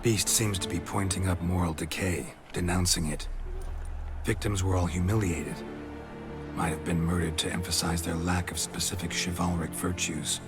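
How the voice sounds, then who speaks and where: An actor playing a stoic, world-weary monster hunter in a video game, dry and deadpan, in clipped sentences. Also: male voice, low voice, moderate pace, close to an everyday voice. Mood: analytical. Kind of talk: video game cutscene acting. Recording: music behind the voice.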